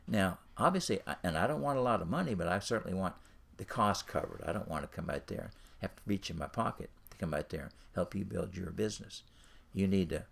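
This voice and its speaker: A man's voice: medium pitch, vocal fry, slightly rough. A man giving an informal instructional talk as a coach, speaking directly to the listener and repeating his phrases. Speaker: ministry and business coach.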